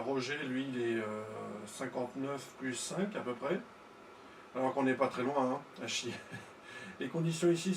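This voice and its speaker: A man talks casually as a ham radio operator, working through technical details with some hesitation. His voice sits at an ordinary male pitch. The sound is noticeably roomy, with a faint layer of noise behind it. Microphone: studio-style mic through tube preamp.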